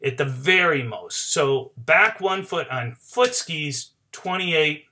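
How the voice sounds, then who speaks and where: A man's voice: fairly high pitch, animated, slow pace, sharp tone, a little hiss or hum. A middle-aged man explaining as an informal sports coach, emphatic and talking directly to his viewers. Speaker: barefoot waterskiing coach. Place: small cluttered indoor home office.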